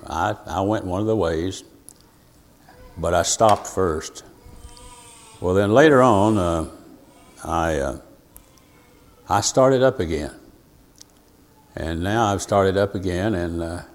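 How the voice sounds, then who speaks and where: An older man gives an informal sermon, rambling and halting in a folksy, storytelling way. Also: sits low. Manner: rambling, folksy, halting, full of asides and self-told anecdotes. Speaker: preacher teaching Bible prophecy.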